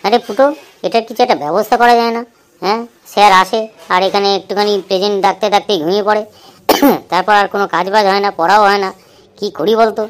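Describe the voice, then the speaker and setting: A woman's voice: medium pitch, steady tone, quick pace, natural voice. A woman playing a young school pupil in a comedy cartoon, chatty and complaining in a conspiratorial way. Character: chatty young school pupil griping about sleepy teacher.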